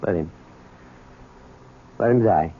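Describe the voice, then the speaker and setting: Low-voiced embittered son, old radio. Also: male voice, slow pace, slightly rough, phone-quality sound, exaggerated voice. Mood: cold refusal. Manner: bitter, unyielding. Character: embittered son of an imprisoned bank robber. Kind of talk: old-time radio drama acting. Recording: thin, noisy old radio broadcast.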